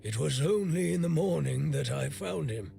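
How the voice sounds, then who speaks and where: An actor playing a bitter, blunt, middle-aged blacksmith in a video game, grumbling and weary with worry. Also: male voice, fairly high pitch, animated, normal speed, vocal fry, dark tone. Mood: weary, worried. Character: gruff, bitter male blacksmith, worried for a stricken young man.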